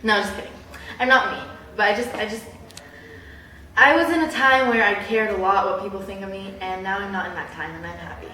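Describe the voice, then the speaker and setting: A young woman chatting casually in a personal vlog, with a bubbly, animated, giggly way of exaggerating things. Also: mid-range voice, a little fast. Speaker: vlogger. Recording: roomy echo, distant mic, background hiss.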